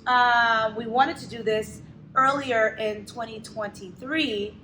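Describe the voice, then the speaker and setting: A woman co-hosting a live talk show, chatting casually with frequent laughter and quick interjections. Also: a little high, fairly even intonation, pushed and bright, reverberant, slight background noise, low-quality recording. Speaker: co-host and burlesque show host.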